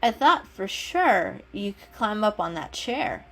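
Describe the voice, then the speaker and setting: A woman's voice: mid-range voice, sing-song, takes it slowly, fairly bright tone, slight background noise, exaggerated voice. A woman voicing a bold, mischievous young male kitten in a storybook reading, eager and playful. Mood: encouraging. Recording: music under the voice.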